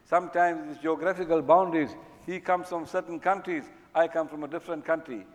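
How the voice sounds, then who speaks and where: Fast-talking male preacher in an echoey hall. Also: on the high side, fairly even intonation. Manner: emphatic, repeats phrases for stress. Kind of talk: religious sermon. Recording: echoey hall with long reverb.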